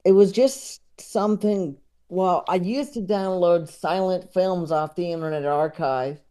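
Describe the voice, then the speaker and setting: Male podcast guest, unhurried and mellow, rambling and self-deprecating in casual conversation. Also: high voice, clear, resonant tone. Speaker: podcast guest, comedy writer and filmmaker. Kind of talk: casual interview chat.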